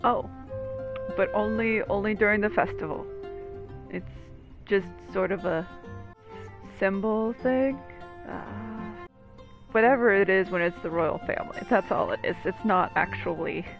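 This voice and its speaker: A woman's voice: medium pitch, measured pace, gravelly, long pauses, lo-fi sound, music in the background. A woman giving casual gameplay commentary with dry, wry asides.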